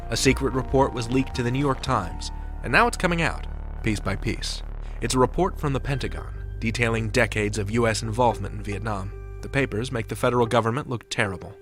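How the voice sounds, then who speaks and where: A man's voice: mid-range voice, brisk, fairly bright tone, soft background music. A man hosting a podcast, reading scripted narration. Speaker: podcast host.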